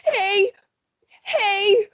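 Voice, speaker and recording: Wailing servant, extremely high shrill voice, stammering, slow halting delivery, hysterical, roomy radio play. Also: female voice, lo-fi sound, exaggerated voice. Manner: stammering, wailing, broken speech. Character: hysterical household servant woman, sobbing and wailing.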